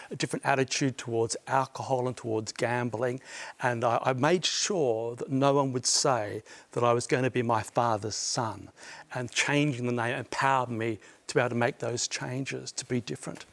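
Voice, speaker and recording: An older Australian man speaks as a guest on a studio discussion show. He tells his story with animated bursts of emphasis and a clear, mid-pitched voice.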